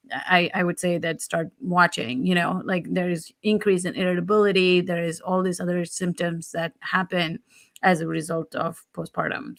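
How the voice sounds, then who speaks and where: A woman with a slightly low-pitched voice speaks as a guest on a talk show. She gives advice conversationally, in an earnest and empathetic manner, often repeating phrases for emphasis. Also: gravelly, fairly bright tone.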